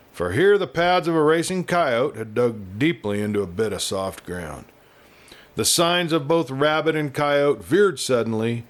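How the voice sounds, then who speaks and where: A man narrating a scripted western story as an audiobook reader, with deliberate, expressive phrasing. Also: medium pitch.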